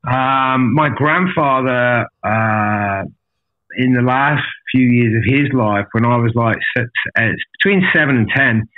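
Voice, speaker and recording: A man's voice: medium pitch, measured pace. Blunt, sweary man on a tinny line. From an island outside Australia. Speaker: podcast guest telling life story. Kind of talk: casual candid storytelling.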